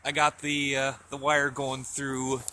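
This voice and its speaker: A man giving a casual, unscripted show-and-tell as a vlogger outdoors, speaking in a halting way as he thinks aloud. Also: mid-range voice, completely flat delivery, bright, forceful tone. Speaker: hobbyist vlogger. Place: outdoors in a yard in winter. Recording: outdoor camera audio with faint background noise.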